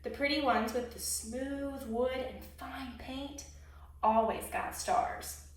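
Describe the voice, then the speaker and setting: A woman reading a children's story aloud expressively, pausing to put questions to young listeners. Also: high voice, steady tone. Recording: very echoey room, distant microphone.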